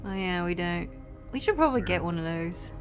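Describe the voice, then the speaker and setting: A woman's voice: lowish voice, animated, a little fast. A woman playing a tabletop roleplaying game, chatting casually and improvising in character. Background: music.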